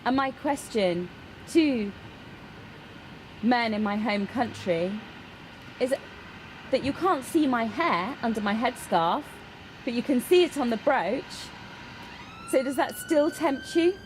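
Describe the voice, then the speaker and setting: A woman voicing a signed personal statement as an interpreter, in halting phrases with pauses. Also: lively intonation, poor audio. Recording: clear background noise, ambient rumble.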